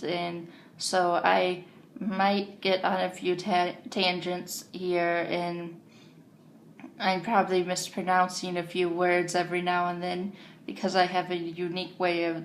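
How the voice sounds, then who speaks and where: Hesitant woman with a deep voice. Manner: hesitant, many ums and restarts, drifts onto tangents. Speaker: vlogger. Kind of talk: casual unscripted monologue to camera. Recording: faint background noise.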